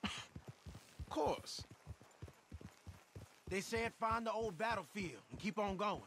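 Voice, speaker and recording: A man playing an eager, sharp young outlaw in a Western game, speaking in an earnest, folksy way. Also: sits high, expressive, slow, full character voice. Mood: game, resolute. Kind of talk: video game cinematic acting. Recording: clearly noisy outdoor game ambience.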